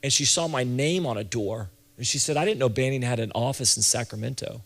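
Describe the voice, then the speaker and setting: A man around forty giving an informal church leadership talk, conversational and halting, often restarting and repeating his phrases. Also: mid-range voice, a bit bright. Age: late 30s to early 40s. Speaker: ministry leader and church planting teacher. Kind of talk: informal sermon-style teaching talk to an audience. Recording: reverberant hall sound.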